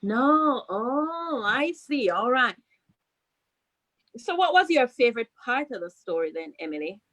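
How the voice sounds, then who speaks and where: A woman's voice: a little high, sing-song, a little slow, a bit bright. A woman, a school principal leading a question-and-answer chat with children, prompting them and repeating questions and answers back.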